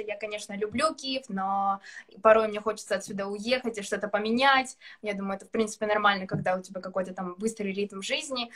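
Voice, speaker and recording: A woman in her twenties chatting casually on a video call from a room at home, laughing often and talking with animated gestures. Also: mid-range voice. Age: 20s. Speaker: guest singer. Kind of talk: casual live-stream chat. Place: indoor room with curtains.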